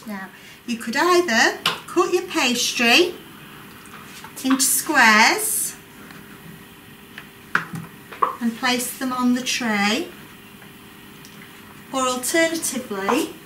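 Cooking instructor, woman, 40s; slow, patient delivery, distant mic in a roomy kitchen with noise. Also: sits high. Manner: calm, clear, instructive. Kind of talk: step-by-step cooking demonstration. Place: large college kitchen classroom, indoors. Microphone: distant camera mic. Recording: echoey room, voice from afar. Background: kitchen clatter and room noise.

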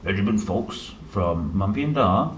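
A man in his thirties reading a picture book to young children, playful and expressive, with chatty asides. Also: fairly low pitch, a little slow. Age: 30s. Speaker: storyteller, host reading children's books. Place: indoor room, seated at a table. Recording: roomy echo, some background noise.

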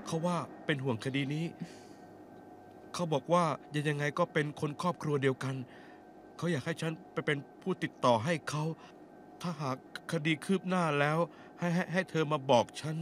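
A man's voice: fairly high pitch, steady tone, normal speed, a little hiss or hum, lightly acted. An actor playing a timid, nervous man who stammers, in a dubbed TV melodrama.

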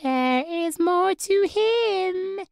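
Slow, sinister, swooping demonic entity. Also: female voice, very high-pitched, expressive, gravelly, dry recording, studio quality, heavily acted. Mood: mocking, playful. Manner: slow, singsong, taunting, giggling menace. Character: gleeful, sinister demonic entity calling itself "we". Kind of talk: horror audio roleplay, theatrical monologue.

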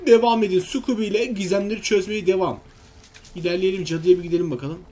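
A man's voice: high voice, steady tone, fast, warm tone. A man giving casual, exclamatory let's-play commentary, talking to himself and his viewers. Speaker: gaming video host.

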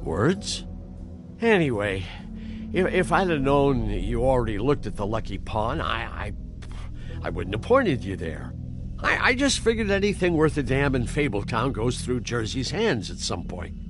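An actor playing a nervous, cowardly middle-aged butcher in a video game, stammering, apologetic and evasive. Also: male voice, medium pitch, big pitch swings, measured pace, over music. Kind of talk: dramatic video game acting.

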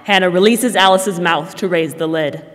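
A woman's voice: fairly low pitch, normal speed. A young woman reading scripted narration of stage directions for a drama. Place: stage of a school theater. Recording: reverberant hall, faint noise.

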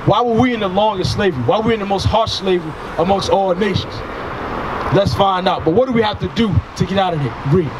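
A man's voice: high voice, fast talker. A man in his thirties preaching outdoors by a street into a handheld mic, emphatic and full of rhetorical questions. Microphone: handheld microphone. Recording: outdoor traffic noise. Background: passing cars.

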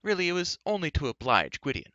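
A man's voice: fairly high pitch, sing-song, quick pace, bright, forceful tone, no echo, dull audio, voice pushed up. A man voicing a flamboyant, blustering bard and king in a fantasy audiobook, effusive and easily flustered. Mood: airy, boastful. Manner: effusive, flustered, hesitant boasting.

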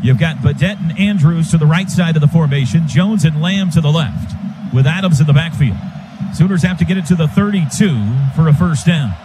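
Male, American, radio play-by-play announcer, higher voice, clipped excitable phrases, noisy stadium backdrop. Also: fairly quick. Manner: clipped phrases, excited calls rising with the action. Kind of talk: live American football play-by-play commentary. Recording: loud stadium noise behind radio broadcast. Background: stadium ambience.

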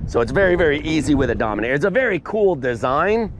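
A man's voice: big pitch swings, fast talker, noisy background. Emphatic man teaching on a beach. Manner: emphatic, punchy asides like "boom" and "bam". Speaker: paramotor instructor. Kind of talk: outdoor class demonstration. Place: open sandy beach outdoors. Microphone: head-mounted camera mic.

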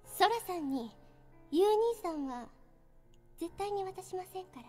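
A woman's voice: very high-pitched, lively intonation, unhurried, a little mellow, faint music behind. A woman voicing a devoted, possessive teenage girl in an anime drama, polite but intense and menacing. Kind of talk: anime audio drama acting.

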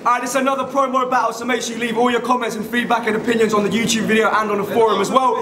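A man's voice: very high-pitched, flat delivery, very fast. A man in his thirties announcing a live rap battle as host in a hyped style. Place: crowded indoor club venue. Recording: echoey venue with crowd noise.

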